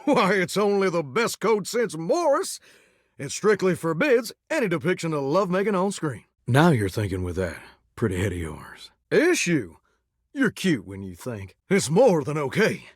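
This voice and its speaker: A crooning 1950s greaser shower. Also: male voice, a little high, huge pitch swings, normal speed, husky, dry recording, studio quality, full character voice. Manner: greaser slang, Elvis-style crooning drawl. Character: crooning 1950s rock-and-roll man, a living shower. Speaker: game character. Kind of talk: video game voice acting.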